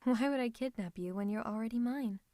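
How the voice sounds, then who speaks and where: A woman's voice: on the high side, a little fast, mellow, no echo, clean studio sound, close to an everyday voice. A woman voicing a theatrical, possessive female supervillain who is secretly a tender lover, in a scripted ASMR roleplay with a dramatic, haughty, teasing manner. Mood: indignant, fussing. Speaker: ASMR voice performer.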